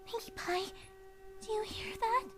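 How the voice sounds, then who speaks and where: A woman voicing a timid, gentle young female cartoon pony, soft and hesitant, in a dramatized story reading. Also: very high-pitched, a little slow. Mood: anxious, hesitant. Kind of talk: dramatized fan fiction reading. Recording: music behind the voice.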